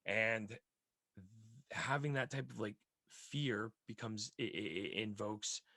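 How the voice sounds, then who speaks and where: A man with a very low, raspy voice that still has a bright, forceful edge, hosting a casual podcast chat. He rambles earnestly and at length. The sound is close and dry, with no room around it. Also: slow, no echo.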